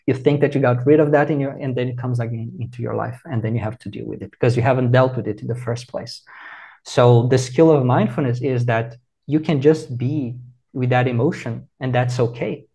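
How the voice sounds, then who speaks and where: A man, answering in a measured, reflective, teacherly way over a video call. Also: mid-range voice, gravelly, warm tone, breathy edge. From Brazil. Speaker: meditation teacher, guest. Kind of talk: calm explanatory interview answers.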